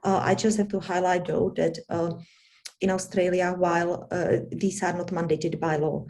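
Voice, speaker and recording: A woman with a Czech accent giving a panel presentation, halting often with fillers and restarts. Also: on the low side, flat delivery, darkish tone. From Czech Republic. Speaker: academic panelist.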